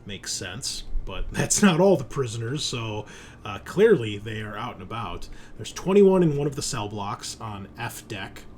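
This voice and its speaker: A man's voice: lowish voice, very animated, unhurried, a little mellow. A man narrating a sci-fi horror tabletop game as game master in a casual, descriptive, explanatory way.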